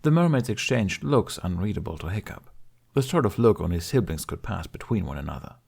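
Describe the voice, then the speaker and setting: A man's voice: lowish voice, big pitch swings, fairly quick, gravelly, a little mellow, slightly rough. A man reading scripted fiction as an audiobook narrator.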